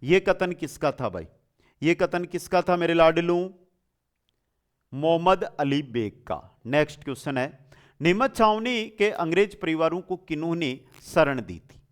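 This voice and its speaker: A man's voice: a little high, expressive, a little slow. A man teaching an exam lesson in question-and-answer form, repeating answers and addressing students familiarly with rhetorical questions.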